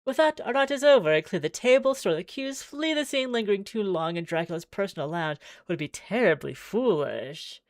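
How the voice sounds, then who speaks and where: A woman's voice: mid-range voice, expressive, brisk, hardly any pauses, no echo, studio quality. A woman streaming a visual novel, reading the text aloud theatrically with wry, exclaiming asides.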